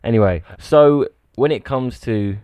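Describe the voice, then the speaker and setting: A man's voice: medium pitch, unhurried, mellow. A man hosting a casual interview, bantering and echoing back what he is told. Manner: bantering, echoes the guest's words. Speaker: podcast host.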